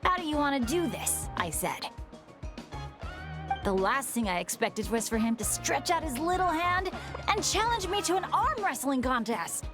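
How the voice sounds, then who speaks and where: An actress voicing a cheerful, teasing young-sounding video game woman who tells stories in an animated way. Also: high voice, moderate pace. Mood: amused storytelling. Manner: animated storytelling. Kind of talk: video game dialogue acting. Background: music.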